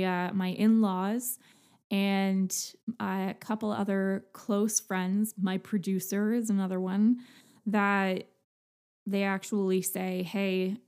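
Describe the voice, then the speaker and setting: A young adult woman hosting a podcast, talking to listeners in an earnest, halting personal monologue. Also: mid-range voice, clean tone. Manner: earnest, halting, soft-spoken. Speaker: podcast host.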